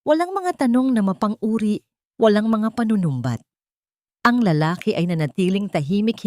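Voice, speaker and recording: A woman's voice: medium pitch, a little mellow, no room sound, studio quality. A woman with a Filipino accent narrating a scripted, dramatic audio story as a storyteller.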